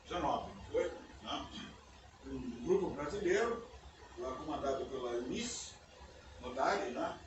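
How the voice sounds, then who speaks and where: An older man, a native English speaker, giving a relaxed conference lecture in a university auditorium, often checking in with his listeners. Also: fairly high pitch, measured pace. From United States. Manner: conversational, often ends phrases with "né?". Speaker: guest lecturer. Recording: echoey auditorium, distant mic, background noise.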